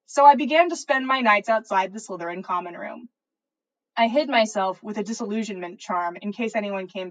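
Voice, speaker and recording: A woman's voice: mid-range voice, fairly bright tone, rough recording. A woman podcast host narrating a piece of fan fiction expressively, as if reading aloud to listeners.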